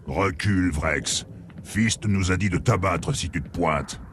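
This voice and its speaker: An actor playing a menacing, blunt thug in a French video game standoff. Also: male voice, sits low, a little slow. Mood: threatening. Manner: threatening, blunt. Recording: noisy background ambience.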